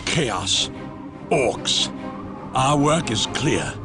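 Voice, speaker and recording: A man's voice: mid-range voice, lively intonation, very slow, over music. A man playing a proud, severe and devout commissar in a game cutscene, speaking formally and declaiming. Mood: zealous. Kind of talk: scripted game cutscene acting.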